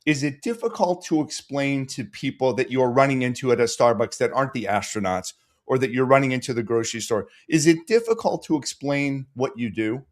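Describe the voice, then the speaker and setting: A man's voice: medium pitch, lively intonation, brisk. A man hosting a podcast, reading a guest introduction and then chatting in an upbeat, conversational way. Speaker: podcast host. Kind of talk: conversational interview.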